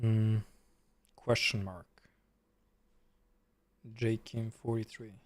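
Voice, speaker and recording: A man's voice: on the low side, little pitch movement, very slow, a little mellow, no echo. A man from Serbia with a Serbian accent, a chess streamer and coach chatting casually, answering viewers' questions as he plays. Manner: chatty, reads chat aloud and answers while playing. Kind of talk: casual live-stream commentary.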